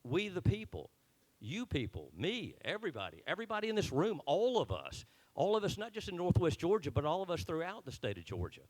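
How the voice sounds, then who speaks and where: A man's voice: high voice, huge pitch swings, brisk, a little hiss or hum. A man introducing a guest at a town hall, earnest and a bit unpolished on stage. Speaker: event organizer introducing the speaker. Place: meeting hall.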